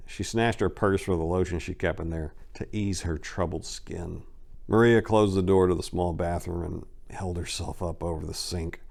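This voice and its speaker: A man giving an intense, expressive dramatic reading of a scripted crime story as its narrator. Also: sits low, gravelly.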